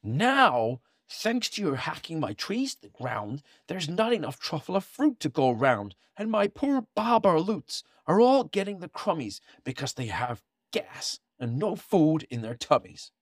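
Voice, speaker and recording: A man's voice: a little high, big pitch swings, unhurried, hardly any pauses, dry recording, studio quality, voice pushed up. A man voicing a small, cranky male forest creature who scolds in rhyme, read from a storybook. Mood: scolding. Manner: scolding, indignant rhyming speech. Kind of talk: storybook reading aloud.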